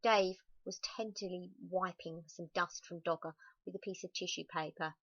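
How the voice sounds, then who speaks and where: A woman's voice: fairly low pitch, normal speed, no echo, muffled recording. A woman reading a children's picture book aloud expressively, with chatty asides to young listeners. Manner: animated, exclaiming, addressing young listeners. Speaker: storybook reader.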